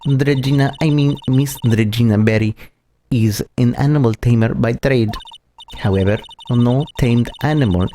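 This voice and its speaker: A man's voice: medium pitch, moderate pace, dark tone, a little hiss or hum, close to an everyday voice. A man voicing an arrogant, condescending male prosecutor in a courtroom video game, with theatrical delivery. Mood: defensive. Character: foppish, arrogant male prosecutor sneering at a rival lawyer.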